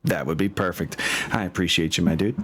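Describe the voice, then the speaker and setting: A man's voice: sits low, a little fast. A man chatting casually as game master while setting up, with an informal, slangy and apologetic manner. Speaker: game master and host of a tabletop campaign. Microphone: online voice chat.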